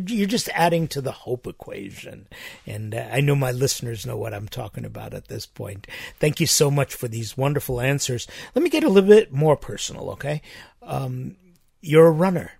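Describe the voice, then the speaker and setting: A man's voice: mid-range voice, animated, moderate pace, vocal fry, darkish tone, slightly rough, dry recording. A male host asking long, personal questions and affirming his guest's answers. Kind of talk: interview hosting.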